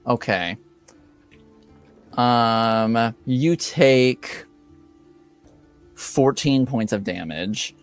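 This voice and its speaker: A man acts as game master in an improvised tabletop session. He speaks slowly and haltingly, feeling his way through narration and rules calls, with music in the background. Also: medium pitch. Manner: halting, hesitant delivery. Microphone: home microphone on an online call.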